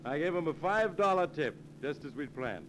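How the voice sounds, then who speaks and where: Male actor as cool, commanding schemer in an old radio mystery; animated delivery, clearly noisy vintage recording. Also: on the high side, normal speed, lightly acted. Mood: smug, calculating. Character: scheming, self-assured male murderer and secret lover. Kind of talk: 1940s radio mystery acting.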